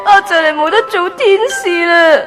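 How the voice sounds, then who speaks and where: A woman's voice: very high voice, moderate pace. A woman playing an earnest, sentimental young trainee angel in a Hong Kong Cantonese radio drama. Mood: tearful. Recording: background music under the voice.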